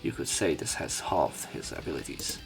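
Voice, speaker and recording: A man with a low voice narrates a visual novel as a let's player. He reads the story aloud and breaks off to remark on it, with music playing underneath. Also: moderate pace.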